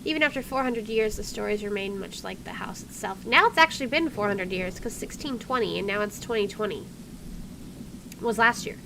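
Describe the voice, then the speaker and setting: A woman's voice: medium pitch, animated, a little fast. A woman hosting a casual game playthrough, chatty and playful with wry asides as she comments and reads aloud. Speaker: gaming video host. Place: indoors at home, air conditioner running. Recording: clear background air conditioner noise.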